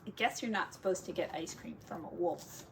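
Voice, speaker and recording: A woman's voice: on the low side, a little fast, vocal fry, slight background noise. A woman who teaches, reading a picture book aloud to children expressively, drawing out key words for drama and adding casual asides.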